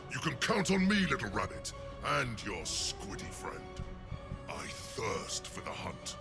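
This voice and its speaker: Swooping, low bloodthirsty hunter. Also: male voice, huge pitch swings, unhurried, exaggerated voice. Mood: eager menace. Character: bloodthirsty male hunter ally, menacing and familiar. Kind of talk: fantasy game acting. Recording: music behind.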